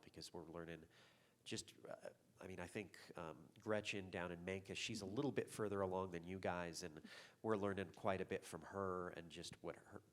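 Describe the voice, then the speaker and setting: A man speaking as a panelist at a conference, friendly and rambling, with frequent fillers. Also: low-pitched. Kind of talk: conference talk.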